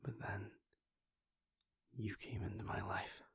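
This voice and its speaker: A man voicing a weary, devoted male vampire prince in a soft, intimate whisper, speaking in slow, halting, heartfelt confessions. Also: fairly low pitch, flat delivery, vocal fry, husky, dry recording, heavily acted. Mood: tender, hushed. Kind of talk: whispered audio roleplay.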